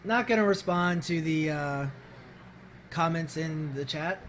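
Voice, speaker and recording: A hoarse man with a voice that is not deep, commenting on his card game as he plays and thinking aloud with many ums and restarts. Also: unhurried, fairly bright tone, a little hiss or hum. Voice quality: voice not very deep, sounds high-pitched. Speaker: streamer playing a card game. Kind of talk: casual gameplay commentary.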